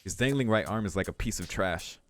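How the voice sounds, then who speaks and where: A man's voice: lowish voice, animated, brisk, a bit bright. A man hosting a let's play, reading visual novel narration aloud and adding casual commentary. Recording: music playing behind the voice. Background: game music.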